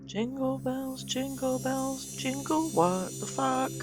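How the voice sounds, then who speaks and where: A woman's voice: sits high, takes it slowly, pitched above the performer's own voice. A woman voicing a frightened, bewildered man in a scripted audio story. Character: frightened, bewildered man singing carols to cope.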